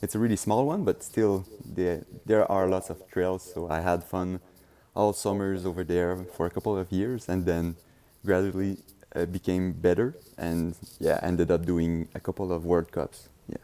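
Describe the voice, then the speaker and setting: A man's voice: sits low, steady tone, normal speed, warm tone. A young man from Quebec with a Québécois French accent, giving easygoing, hesitant interview answers in English, his second language. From Quebec, Canada. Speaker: guest, gravel racer.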